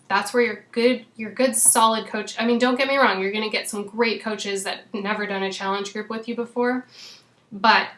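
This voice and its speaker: A woman in her thirties with a hoarse, fading voice talks casually to her coaching team, filling pauses with "um" and "you know". Her pitch sits in a middling range. The room around her sounds echoey. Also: fairly bright tone. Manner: chatty, frequent "um" and "you know". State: losing her voice. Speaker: fitness coach.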